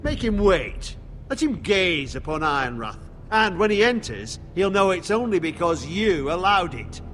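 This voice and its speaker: A hot-headed veteran soldier. His delivery is blunt and combative, set a little high and moving widely in pitch. The voice rings through a hall with a long reverb. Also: sing-song, measured pace, a bit bright, faint music behind, full character voice. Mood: forceful.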